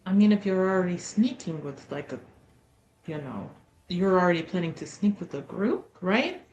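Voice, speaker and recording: A woman's voice: on the low side, lively intonation, moderate pace, darkish tone, highs cut off, a little hiss or hum. A woman in casual, informal roleplay conversation as a tabletop game player, often questioning. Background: background music.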